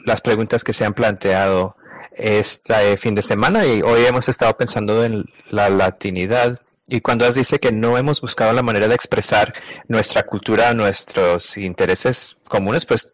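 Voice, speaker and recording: A man interpreting a conversation, speaking in a halting, stop-and-start way and often correcting himself mid-phrase. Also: mid-range voice, no room sound. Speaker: interpreter voicing a translated conversation.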